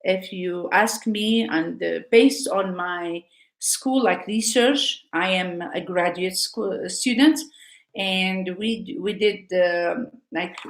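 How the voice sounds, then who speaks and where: A woman's voice: lowish voice, roomy sound. A woman teacher from Africa, talking casually and hesitantly, with many fillers and restarts. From North Africa. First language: Arabic. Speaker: Arabic teacher. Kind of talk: casual live talk.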